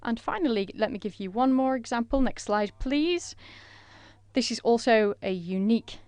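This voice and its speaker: A woman renders a conference presentation in a measured way, pausing often on fillers. Her voice is smooth and clear, recorded close and dry. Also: on the high side, a little mellow, no room sound. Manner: measured, with frequent filler pauses.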